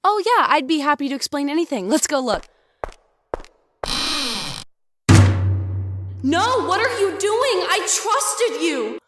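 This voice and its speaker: High-voiced, innocent-seeming young woman acted by a woman. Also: very animated, moderate pace, noisy background. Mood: helpful, uneasy, then pleading. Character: seemingly innocent young woman from the 1800s, wide-eyed and pleading. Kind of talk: audio drama acting.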